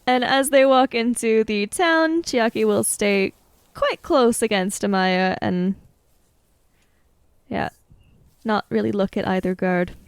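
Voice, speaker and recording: Slow-spoken woman role-playing, dry sound. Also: a little high, clear, resonant tone, dry recording. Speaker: tabletop game player. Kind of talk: improvised role-play narration.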